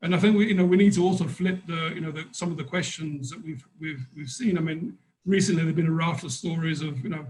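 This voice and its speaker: A man speaking off the cuff as a conference panelist, halting and repeating words as he works out his thoughts. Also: a little high, darkish tone, rough recording.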